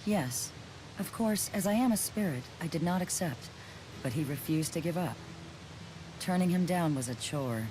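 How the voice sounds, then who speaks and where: A woman's voice: lowish voice, animated, normal speed, background noise, lightly acted. A woman voicing a proud, aloof ancient ice spirit in a video game, speaking in a cool, formal and curt way. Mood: nostalgic. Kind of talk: video game cutscene voice acting. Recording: music under the voice.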